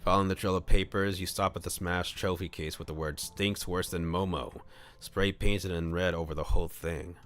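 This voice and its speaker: A man's voice: sits low, fairly even intonation, a little fast, hardly any pauses, a bit bright, slight background noise. A man reading a visual novel aloud as a streamer, mixing scripted narration with casual asides. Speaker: streamer, VTuber. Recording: music behind the voice. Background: background music.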